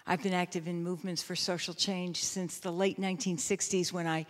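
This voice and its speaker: Older woman, even-toned, in a reverberant hall. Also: fairly low pitch, a little fast. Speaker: pastor, panelist. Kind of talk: self-introduction at a public panel.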